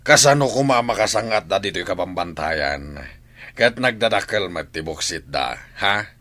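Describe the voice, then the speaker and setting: An actor playing a mocking, boastful bandit boss in a Philippine radio drama, theatrical and scoffing in delivery. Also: male voice, low voice, animated, moderate pace, pushed and bright. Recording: old AM radio drama recording.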